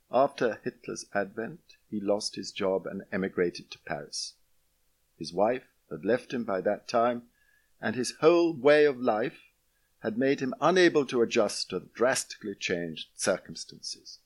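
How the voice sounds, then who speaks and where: A man with a fairly low voice narrates a written memoir in the first person. His delivery is measured and literary, with very lively rises and falls in pitch across the phrases. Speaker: audiobook-style reader of a memoir.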